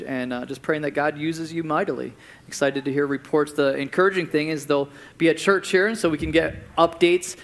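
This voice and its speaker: A man's voice: on the high side, gravelly, fairly bright tone. A man preaching as pastor of a church, closing his sermon with an earnest prayer and then speaking to the congregation from the stage.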